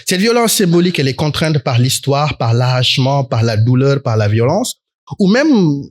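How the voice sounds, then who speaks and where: A man in his thirties from Senegal, speaking as a talk show panelist in an articulate, academic way, often pausing on hesitant fillers. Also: mid-range voice, big pitch swings, no room sound. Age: 30s. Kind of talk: panel discussion.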